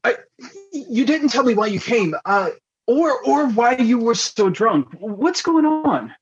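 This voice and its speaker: A woman playing a hesitant, concerned ex-lover in a radio comedy, stammering anxiously and gently insisting, with a husky voice. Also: on the high side, big pitch swings, takes it slowly, dull audio. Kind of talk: sci-fi comedy radio acting.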